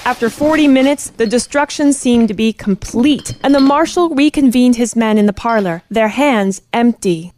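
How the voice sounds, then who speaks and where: A woman's voice: on the high side, moderate pace, hardly any pauses, a little mellow, natural voice. A woman acting as storyteller, reading scripted narration for a detective radio play. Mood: dramatic narration. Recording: clicks, faint background noise.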